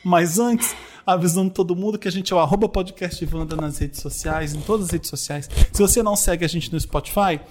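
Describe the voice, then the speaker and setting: A man's voice: high-pitched, a little fast, darkish tone. A man hosting a casual podcast chat and reading the news aloud. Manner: chatty, reads news aloud. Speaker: podcast host.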